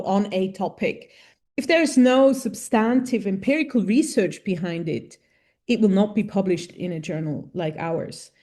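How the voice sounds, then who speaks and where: A woman's voice: mid-range voice, mellow. A woman, a journal editor, answering interview questions in a frank, plain-spoken way.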